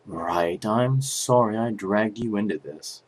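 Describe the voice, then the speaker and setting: A man voicing a grave, formal male chief prosecutor in a fan dub, speaking in a measured way. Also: lowish voice, slightly rough.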